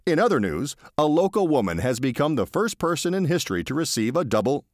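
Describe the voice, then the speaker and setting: A man's voice: on the high side, big pitch swings, fairly quick, dry recording, studio quality, voice pushed up. A man voicing a male radio newsreader with a formal bulletin delivery.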